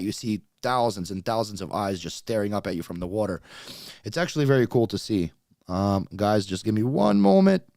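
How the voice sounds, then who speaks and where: A man's voice: medium pitch, a little mellow, no room sound, clean studio sound. A man in his late thirties, born in Russia with Russian as his first language, with a slight Russian accent, chatting casually with viewers on a livestream as he sorts out technical problems. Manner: chatty, talks straight to viewers while fixing tech. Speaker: YouTube livestream host.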